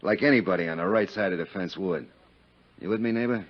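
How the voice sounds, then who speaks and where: An actor in a 1950s radio drama playing a crude, boastful, jealous Chicago businessman, rambling and overfamiliar in folksy roughneck diction. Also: sits low, fast. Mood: pleading, aggrieved. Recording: dull vintage 1950s radio recording.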